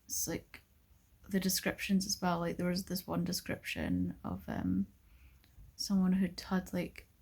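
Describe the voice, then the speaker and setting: A woman's voice: on the low side, big pitch swings, unhurried, vocal fry, a little mellow, breathy edge. A young woman vlogging in a casual chat to the camera, rambling and filling her talk with "like" and "um". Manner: rambling, full of "like" and "um".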